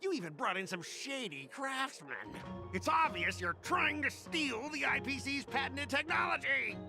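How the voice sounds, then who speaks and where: Shrill, blustering cargo worker. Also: male voice, expressive, normal speed, gravelly, music in the background, exaggerated voice. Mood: aggrieved. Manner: indignant, blustering, emphatic. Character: irate, stubborn male cargo-company worker, loud complainer. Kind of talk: video game voice acting.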